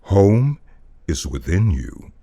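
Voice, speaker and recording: A male voice-over artist giving a solemn, dramatic reading of poetic prose, with deliberate and theatrical emphasis. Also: low voice, big pitch swings, dark tone. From United States.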